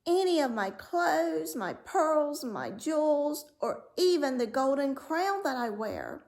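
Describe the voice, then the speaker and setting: High-pitched spoiled young storybook princess played by a woman. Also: very animated, takes it slowly, a little mellow, full character voice. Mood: eager. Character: spoiled young princess, tearful, eager, secretly scornful. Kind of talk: storybook read-aloud character voice.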